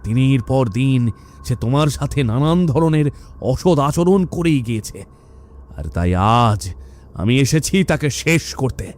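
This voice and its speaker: A man's voice: medium pitch, expressive, unhurried, darkish tone, lightly acted. A man voicing the stern, vengeful ghost of a murdered father in a cartoon. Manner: stern, scolding. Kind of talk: Bengali cartoon voice acting.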